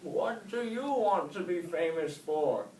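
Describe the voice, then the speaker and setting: A man voicing a wise old male snail who speaks slowly and deliberately, heard from far off in an echoey room. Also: high voice, lively intonation, darkish tone, voice pushed up. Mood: patient. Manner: slow, deliberate, questioning. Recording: echoey room, mic far off, faint hiss.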